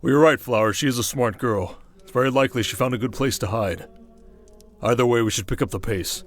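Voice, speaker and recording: A man playing a courteous, protective young king and tender husband in an ASMR fantasy roleplay, polite and measured. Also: medium pitch, faint music behind, lightly acted. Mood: reassuring.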